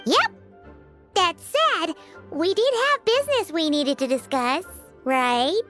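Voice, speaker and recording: A woman voicing a tiny, chirpy, childlike fairy companion in an anime-style game, squeaky and perky. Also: animated, slow pace, music in the background, exaggerated voice. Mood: chatty. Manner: perky, refers to herself in third person.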